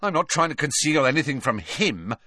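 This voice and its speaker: A man's voice: on the high side, sing-song, brisk, bright, forceful tone, no echo, clean studio sound, voice pushed up, full character voice. A man doing a defiant, sulky teenage boy.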